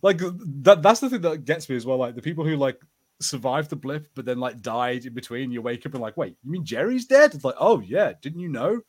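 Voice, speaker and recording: A man's voice: medium pitch, lively intonation, fairly quick, a little mellow. A man co-hosting a podcast, chatting casually about pop culture and slipping into acted-out bits of imagined dialogue. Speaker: podcast co-host.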